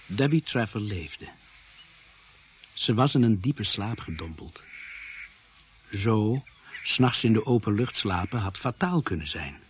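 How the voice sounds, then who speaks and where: An actor narrating a mystery radio play as a serious storyteller, in a measured, scripted way. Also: male voice, on the low side, expressive. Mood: grave, measured. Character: measured male first-person narrator of a murder mystery. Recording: faintly noisy radio play soundtrack.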